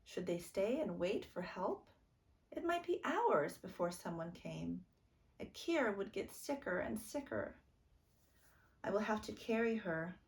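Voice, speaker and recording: Slow, gravelly-edged woman reading a storybook. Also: on the low side. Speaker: storytime reader. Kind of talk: children's book read-aloud narration.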